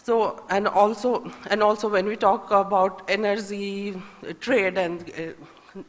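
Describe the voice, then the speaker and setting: A woman from Nepal with a Nepali accent, speaking as a conference panelist in a hesitant, halting way, thinking aloud as she makes her points. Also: medium pitch, fairly even intonation. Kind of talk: semi-spontaneous panel remarks on trade policy. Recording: echoey hall with long reverb.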